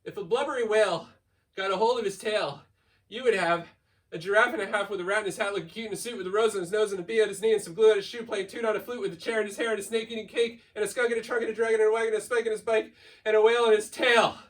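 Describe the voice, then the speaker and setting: A man's voice: sits high, steady tone, very fast, far from the mic. A man giving a playful, theatrical read-aloud of a children's picture book, stretching key words and racing through piled-up lists. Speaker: school staff member reading a children's book aloud.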